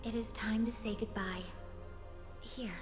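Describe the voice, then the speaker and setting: A woman playing a solemn, motherly, mysterious messenger in a game, speaking in measured, cryptic, formal phrases. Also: a little high, steady tone, natural voice. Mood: gentle, cryptic. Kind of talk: scripted game dialogue. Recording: long reverb, music behind. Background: music.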